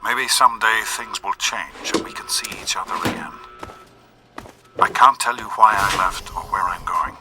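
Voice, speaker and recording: An actor playing a gentle middle-aged father and doctor in a video game, speaking earnestly and hesitantly with regret and love. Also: on the low side, normal speed, noisy background, lightly acted. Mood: sad, tender. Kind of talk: restrained dramatic video game acting.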